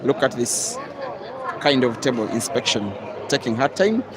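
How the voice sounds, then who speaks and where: A man from East Africa giving live, measured commentary on a pool match, with sudden excited rises. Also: medium pitch. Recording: loud crowd and noisy outdoor venue.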